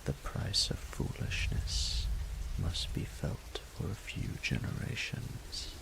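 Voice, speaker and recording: A man playing a proud, menacing and seductive dragon ruler in a romantic audio roleplay, speaking formally in a breathy, gravelly half-whisper. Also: noisy background, close to an everyday voice. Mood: cold, imperious. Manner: haughty, formal, deliberate.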